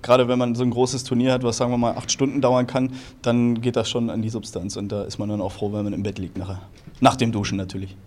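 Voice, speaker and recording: A man in his thirties speaks at an ordinary middle pitch. He sounds relaxed and thoughtful, pausing now and then to find his words. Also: slight background noise. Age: 30s. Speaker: table football league player. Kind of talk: interview statements.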